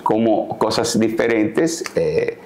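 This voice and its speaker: A man in his sixties, a tailor, calmly explaining his daily routine in a sit-down interview, recorded on a lapel mic in a living room set. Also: lowish voice, slow pace, slight background noise. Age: 60s. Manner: calm, explanatory, gestures while talking. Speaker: guest, tailor. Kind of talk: casual interview talk.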